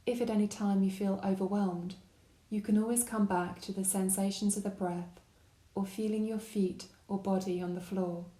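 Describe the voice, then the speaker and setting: A woman guiding a body scan meditation with gentle, calm, unhurried instruction. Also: medium pitch, echoey room. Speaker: meditation guide.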